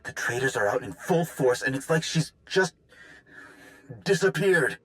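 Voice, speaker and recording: An actor playing an anxious, hurried comrade calling in with news in a video game, urgent and informal. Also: male voice, medium pitch, lively intonation, close to an everyday voice. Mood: worried. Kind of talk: video game cutscene acting.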